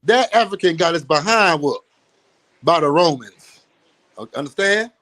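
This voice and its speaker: A man's voice: on the high side, expressive, measured pace, a bit bright. A man teaching in an emphatic, preachy way, repeating phrases and laughing often. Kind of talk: street-teaching talk.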